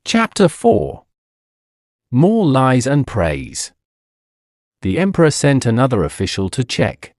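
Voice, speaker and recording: A male audiobook narrator tells a story for language learners at an unhurried pace. His delivery is expressive, with lively rises and falls in pitch. The sound is dry and close, with each phrase clearly set out. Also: no echo.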